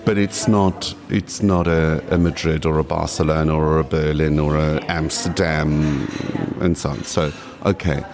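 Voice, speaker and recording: A man's voice: extremely low pitch, fairly even intonation, unhurried, a crowd in the background. A man in his late forties from South Africa with a South African accent, rambling and restarting as he chats in an interview. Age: 40s. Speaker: guest. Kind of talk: casual interview.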